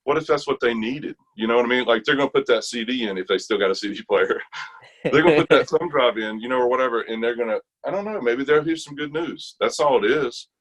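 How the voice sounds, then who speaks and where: A man's voice: mid-range voice, fast talker, a bit bright, low-quality recording. An American man chatting casually as an interview guest, thinking aloud in loose, broken phrases. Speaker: interview guest, bass gospel quartet singer.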